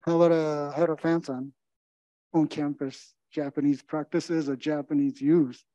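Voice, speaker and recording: A man's voice: on the high side, flat delivery, moderate pace, dark tone, no echo, highs cut off. A middle-aged man moderating a panel interview, asking encouraging questions into a handheld mic with many fillers. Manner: encouraging, many fillers and back-channel murmurs. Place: indoor meeting room.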